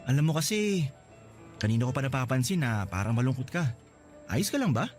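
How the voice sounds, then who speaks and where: A man's voice: medium pitch, very animated, fairly quick, darkish tone, soft background music, lightly acted. An actor playing a cheerful, joking young male coworker in a radio drama, teasing and boasting playfully. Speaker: Lester.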